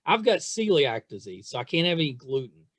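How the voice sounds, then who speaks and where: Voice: medium pitch, sing-song, a little fast, no room sound. A middle-aged podcast interviewer talking casually, with a chatty, self-deprecating, nostalgic manner.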